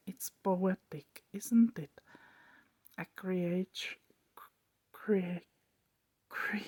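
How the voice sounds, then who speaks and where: Weary old vampire man, played by a woman: brooding, poetic, breathy ASMR whispering, close and dry. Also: medium pitch, dry recording. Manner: slow, hushed, halting.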